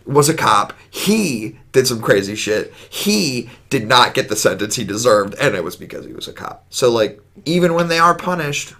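A man co-hosting a podcast, talking in a rambling, profane way and filling every pause with "like". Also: medium pitch, sing-song, a bit bright. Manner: rambling, profane, heavy use of "like". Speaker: podcast co-host. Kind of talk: casual podcast chat.

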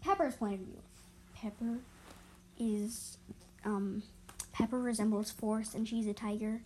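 A woman's voice: a little high, unhurried, darkish tone, slight background noise, poor audio. A woman reading animal stories as an amateur storyteller, stumbling over and repeating words and adding explanatory asides.

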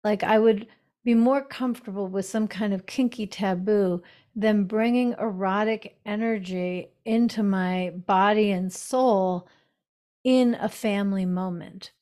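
A middle-aged woman speaks as a guest author in a podcast interview. Her delivery is slow and unhurried, with an even mid pitch. She talks in a reflective, deliberate way.

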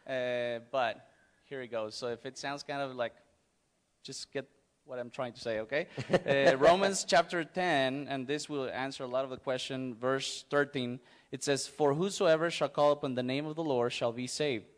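A middle-aged man from Mexico with a Mexican accent, speaking plainly and a little haltingly as a missionary guest in an informal church interview. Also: medium pitch.

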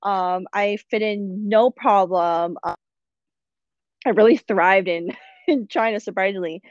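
A young woman, a podcast guest, telling lively stories in casual chat and laughing as she goes. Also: medium pitch, a little slow, dry recording, dull audio. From United States. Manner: lively storyteller, laughing through her anecdotes.

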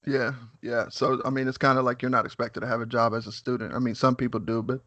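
A man in his mid-thirties hosts a podcast in a nervous, halting way, padding his talk with casual fillers. His voice sits at an ordinary pitch, and the recording is dry and close. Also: little pitch movement, sharp tone, no echo, lo-fi sound. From West Virginia, USA. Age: 30s. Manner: nervous, halting, lots of "man" and "you know what I'm saying". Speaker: podcast host. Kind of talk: casual interview.

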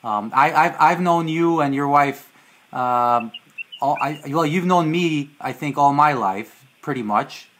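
A man hosting an interview in a loose, halting way, with a slight Hungarian accent and Hungarian as his first language. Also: fairly high pitch, a bit bright, a little hiss or hum. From New Jersey. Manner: hesitant, many fillers and restarts. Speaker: podcast host. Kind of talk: casual interview.

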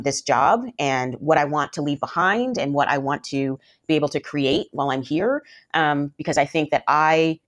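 A middle-aged woman law school dean giving thoughtful interview answers, pausing with fillers and restarting her sentences. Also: sits low.

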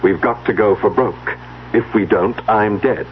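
A man's voice: fairly low pitch, a little slow, lightly acted. An actor playing a selfish, coolly calculating male schemer in a 1970s radio drama. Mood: cold, scheming. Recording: dull, noisy old radio recording.

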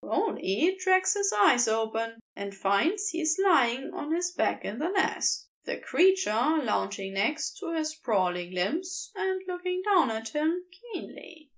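A woman narrates fan fiction in an unhurried, expressive reading. Her voice sits high and moves lively through each sentence. She takes her time over the words. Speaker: podfic reader.

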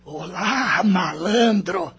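A man's voice: sits high, drawn-out words, a bit bright, slightly rough, heavily acted. A man voicing a menacing, mocking male spirit in a radio drama, drawling his taunts with cackling mirth. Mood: mocking, gleeful. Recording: music behind the voice.

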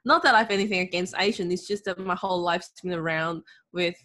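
A middle-aged woman from Hong Kong with a Hong Kong English accent, chatting in an interview, lively, often laughing, with frequent ums. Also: on the low side, fast. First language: Cantonese. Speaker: guest, author and confidence coach. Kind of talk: casual interview talk.

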